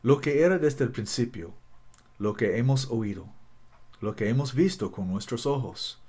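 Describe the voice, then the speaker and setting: A man whose first language is English leads a Bible study in careful, halting speech, often restarting his sentences. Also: medium pitch, lively intonation, darkish tone, slightly rough. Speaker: Bible teacher. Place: Costa Rica.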